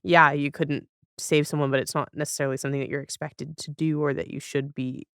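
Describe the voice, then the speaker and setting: A woman's voice: low voice, level tone, a little fast, fairly bright tone, clean tone, no echo, studio quality. A woman chatting casually as a podcast guest, thoughtful and often searching for words as she talks about television.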